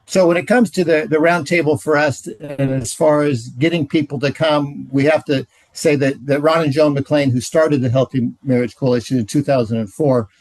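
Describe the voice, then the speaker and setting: A man in his sixties, a marriage ministry director, sharing his experience on a video call with frequent pauses and fillers. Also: fairly high pitch, fairly even intonation, darkish tone, no room sound, poor audio. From Central California. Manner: hesitant, many fillers.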